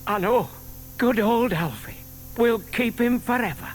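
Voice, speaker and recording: An older man acting a timid, lovestruck gentleman in a children's audio drama, earnest and nervously muttering. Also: extremely high pitch, expressive, measured pace, slight background noise. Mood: tender, hopeful.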